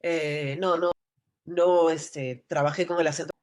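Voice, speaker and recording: A woman's voice: deep voice, fairly even intonation, unhurried, a little mellow. A woman from Lima with a Peruvian accent, an actress talking casually in an interview, full of restarts and fillers. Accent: Lima Peruvian Spanish. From Peru. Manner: rambling, many restarts and fillers. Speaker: actress guest.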